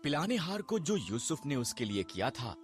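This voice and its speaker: A man narrating a scripted children's Bible story in a deliberate, dramatic storytelling manner. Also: mid-range voice, very animated, soft background music.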